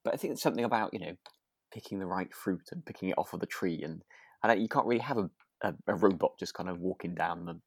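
A man's voice: low-pitched, fast, breathy edge, no echo. A British man co-hosting a podcast, chatting casually in a hesitant, giggly, self-deprecating way. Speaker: podcast co-host. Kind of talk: casual chat about a radio drama.

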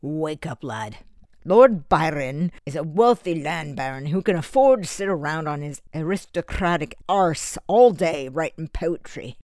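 A man voicing a stern, lecturing Scottish merchant who is a middle-aged foster father, with a gruff Scottish accent. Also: on the high side, expressive, measured pace, darkish tone, no echo, studio quality. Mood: scolding.

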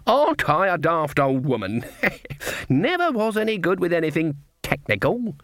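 A man's voice: fairly high pitch, very animated, moderate pace, a bit bright, no room sound, studio quality, pitched above the performer's own voice. A man reading a story, voicing a shrewd old witch woman who talks in a sing-song, falsely innocent country dialect. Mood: mock-innocent.

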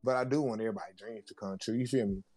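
A man in casual podcast chat, animated and slangy, who keeps checking in with his listeners and laughs between points. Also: lowish voice, racing.

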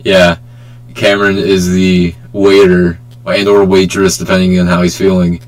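Low-voiced young man hosting casually. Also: flat delivery, unhurried. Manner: joking, bantering, swearing. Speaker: podcast host. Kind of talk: casual group chat. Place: remote group call.

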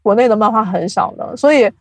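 A woman speaking casually as an interview guest, explaining things in an animated, chuckle-prone way like an industry insider. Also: mid-range voice, quick pace, no room sound. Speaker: guest, audio drama producer and company CEO.

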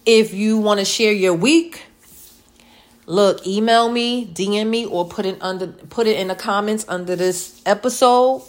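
A woman in her late thirties hosting a casual solo podcast, chatty and playful, repeating words and filling pauses with um. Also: mid-range voice. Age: late 30s. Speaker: podcast host.

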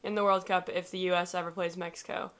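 A woman's voice: lowish voice, level tone, fast talker, fairly bright tone. A young woman hosting a casual podcast, chatty and upbeat, steering the talk with her guest. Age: 20s. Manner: chatty, upbeat, leads the conversation. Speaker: podcast host. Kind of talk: casual chat.

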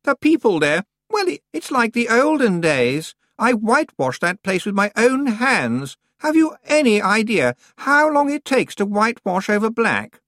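A reader voicing a frantic, bossy teenage girl witch in an audiobook, shrill and whiny, stammering when upset. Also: very animated, a little slow, few pauses, fairly bright tone, no room sound, studio quality. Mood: complaining.

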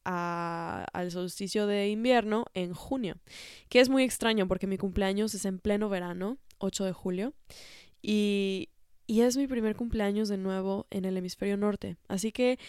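A young woman hosting a podcast, talking in a relaxed, reflective way with many fillers, a little congested from a cold. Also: mid-range voice, clear, resonant tone, no room sound, clean studio sound. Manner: warm, unhurried, frequent fillers and soft laughs. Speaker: podcast host. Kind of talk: reflective casual monologue.

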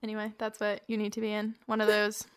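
A woman's voice: medium pitch, monotone, quick pace, smooth, dry recording. A woman in her twenties co-hosting a podcast, chatting casually and upbeat, full of "like" fillers. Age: 20s. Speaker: podcast co-host. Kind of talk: casual chat.

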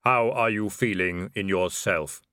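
A man voicing the calm, patient figure of Death, speaking in a flat, measured way. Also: sits low, clear, resonant tone, dry recording, clean studio sound. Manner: flat, measured, even delivery.

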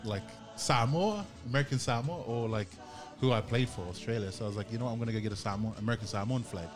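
A man's voice: medium pitch, fast talker, music in the background. A young man co-hosting a casual podcast chat, telling stories with easy laughs. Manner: relaxed, laughing storyteller. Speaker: podcast co-host.

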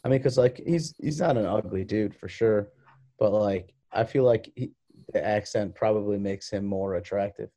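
A young man with a dark, mellow, lowish voice joins a casual podcast over a video call. He agrees readily and laughs along with the others. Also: normal speed. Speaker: podcast co-host. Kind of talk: casual chat.

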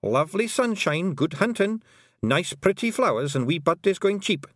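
A man's voice: sits high, very animated, normal speed, dry recording, clean studio sound, voice pushed up. A man voicing a cheerful, scrappy little pixie warrior with a hearty, folksy manner and a Scottish accent.

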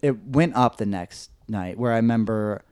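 A man with a slightly high, nasal voice, telling a story on a casual podcast, rambling and hesitant with lots of "like"s and restarts. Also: moderate pace, a little mellow, clear, resonant tone, no room sound, studio quality.

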